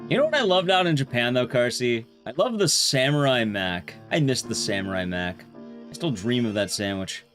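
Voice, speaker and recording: Animated man chatting on a livestream. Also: mid-range voice, normal speed, soft background music. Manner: chatty, addresses viewers, reads game text aloud. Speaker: livestreamer and speedrunner. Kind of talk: casual livestream chat while playing a game.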